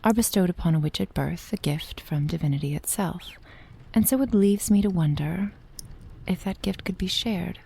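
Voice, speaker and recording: A woman playing an ancient, loving, possessive witch in an ASMR roleplay, speaking softly and formally with deliberate pauses, often slipping into a whisper. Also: lowish voice. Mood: tender, musing. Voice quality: soft, breathy, often dropping to a whisper. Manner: slow, formal archaic phrasing, deliberate pauses. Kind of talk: ASMR roleplay, soft intimate acting.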